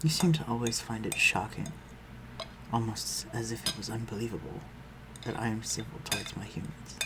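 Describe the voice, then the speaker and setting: A man playing an aristocratic vampire master in a scripted audio roleplay, speaking formally and with measured, condescending concern for the listener. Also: on the low side, noisy background, lightly acted. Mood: civil, aloof. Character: aristocratic male vampire master, civil, protective, coolly superior.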